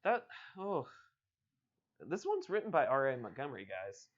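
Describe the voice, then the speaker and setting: Animated man reading aloud, slightly muffled. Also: mid-range voice, moderate pace. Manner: joking, self-deprecating, animated. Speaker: host reading a book aloud on a livestream. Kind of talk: interactive storybook read-aloud with casual asides.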